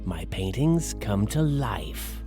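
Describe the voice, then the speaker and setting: A sinister male villain from a mystery game, speaks very slowly in a lowish voice. He is theatrical and menacingly polite, nursing revenge, with music clearly playing behind him. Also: very animated. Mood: brooding. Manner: slow, theatrical, taunting delivery. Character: sinister, vengeful man, a gifted painter, menacingly polite. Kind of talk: video game villain monologue.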